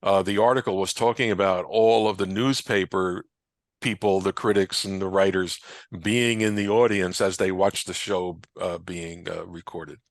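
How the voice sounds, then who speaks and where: A man speaking as a researcher and guest in a conversational panel discussion, explaining things in a halting way. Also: low voice, steady tone, no echo, clean studio sound. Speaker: radio historian and researcher, interview guest.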